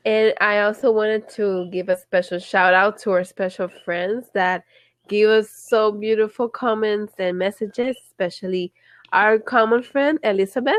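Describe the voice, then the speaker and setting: A woman's voice: mid-range voice, steady tone, a little slow, no echo. A young woman from Puerto Rico co-hosting a casual podcast, playful and swearing, slipping into Spanish, sounding congested from allergies. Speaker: podcast co-host. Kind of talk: casual chat.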